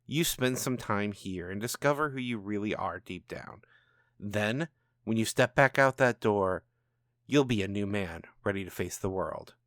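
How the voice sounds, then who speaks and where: A man voicing a calm, knowing, commanding supernatural father in a storybook reading, speaking in a measured, taunting way. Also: fairly low pitch, dry recording, clean studio sound. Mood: stern, persuasive. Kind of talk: storybook narration dialogue.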